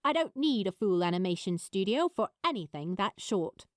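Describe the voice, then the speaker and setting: A woman voicing a decisive, self-assured young woman artist in audiobook dialogue, brisk and sure. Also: mid-range voice, big pitch swings, no room sound, studio quality, lightly acted.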